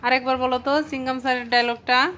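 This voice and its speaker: Bright-voiced woman coaxing her child. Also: sits high, fairly even intonation, quick pace, a little hiss or hum. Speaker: parent filming her child. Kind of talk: casual home video chat.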